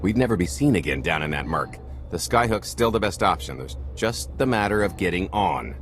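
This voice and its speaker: A man's voice: low voice, animated, a little fast, soft background music. A man voicing a roguish middle-aged smuggler in a video game, wry, breezy and glib. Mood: urgent, brisk. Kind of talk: video game cutscene acting.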